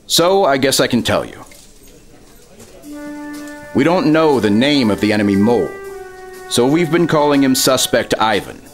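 An actor playing a sly, self-important government official in a retro 1950s radio drama, smooth-talking and insinuating. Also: male voice, medium pitch, big pitch swings, normal speed, long pauses, faint music behind. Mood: probing, guarded.

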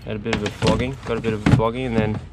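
A man's voice: lowish voice, flat delivery, racing, very noisy. A young man, a sailing vlogger, talking in a weary, matter-of-fact way to an action camera on his boat. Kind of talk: casual vlog chat. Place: outdoors on a sailboat. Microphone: action camera at arm's length.

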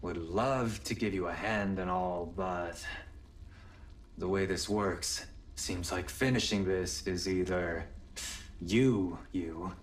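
Slow, weary, sardonic drifter. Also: male voice, deep voice, distant mic. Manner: laconic, wry, unhurried. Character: weary, sardonic older alternate self of a young hero. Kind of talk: video game cutscene dialogue.

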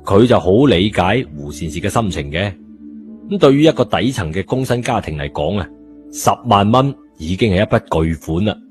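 A Cantonese man narrates an audiobook in a fairly low voice. His delivery is colloquial storytelling. Background music runs beneath him. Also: lively intonation, moderate pace, darkish tone.

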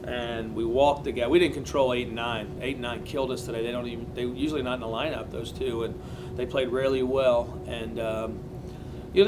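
Brisk male coach, plain talk with restarts, mid-pitched voice over press room hum. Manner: plain-spoken, many restarts and fillers. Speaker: college baseball head coach. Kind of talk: postgame press conference answers. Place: press conference room in front of a backdrop. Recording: noisy press room with rumble.

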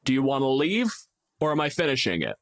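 A man's voice: medium pitch, huge pitch swings, fast. A man voicing a bored, menacing vampire lord in a tabletop game, toying with victims in a mock-polite, leisurely, taunting way.

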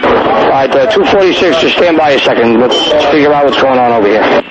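A man's voice: medium pitch, lively intonation, brisk. A man working as a fire dispatcher, giving directive instructions over emergency two-way radio. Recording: muffled, very noisy radio dispatch tape. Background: loud radio noise.

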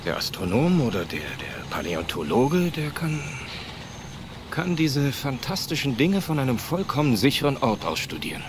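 A man acting a weary, regretful paleontologist in a film dub, speaking in a halting, reflective, kindly way. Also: mid-range voice, very animated, lightly acted. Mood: regretful, pensive. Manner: halting, pensive delivery. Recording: noisy outdoor film soundtrack.

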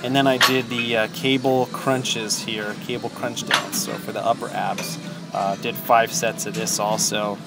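A man's voice: medium pitch, a little slow, creaky, over loud music. A man in his thirties talking casually about his gym workout, with plenty of ums and uhs. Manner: relaxed, many ums and uhs. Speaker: fitness vlogger.

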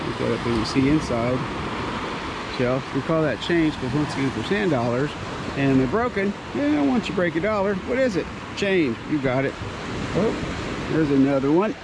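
A man's voice: on the high side, expressive, moderate pace. A man in his sixties walking a beach at sunrise, chatting and telling a rambling story with playful puns into a handheld action camera. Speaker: teacher vlogger. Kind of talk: casual walking vlog narration. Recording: surf noise behind. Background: ocean waves.

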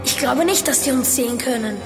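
Radio play kid detective played by a woman, high and observant, slightly uneasy, over loud music. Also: a little fast, close to an everyday voice. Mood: watchful.

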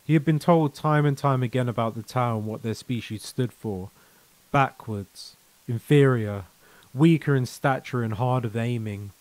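A man reads a written short story as its narrator. His voice sits at a medium pitch, and the recording is dry with little room sound. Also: normal speed, no echo, low-quality recording. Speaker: narrator and author.

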